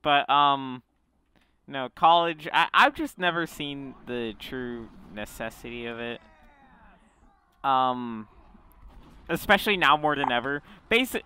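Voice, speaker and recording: A man's voice: medium pitch, animated, slow, pauses often, bright, forceful tone, a little hiss or hum. A young man hosting a casual group podcast on a call, rambling with lots of fillers. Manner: rambling, many fillers and restarts. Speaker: podcast host.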